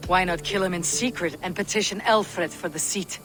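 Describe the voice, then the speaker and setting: A woman as a low-voiced, blunt warrior woman. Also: normal speed, over music, natural voice. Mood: cool, determined. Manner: terse, direct. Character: blunt, pragmatic Viking warrior woman, cool and resolute. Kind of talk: scripted video game dialogue.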